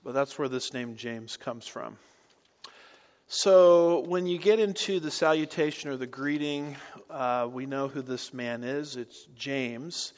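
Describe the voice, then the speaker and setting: A man teaching through a Bible book in a deliberate way, with rhetorical questions and light asides. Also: medium pitch. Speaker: pastor. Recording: dull audio with long hall reverb.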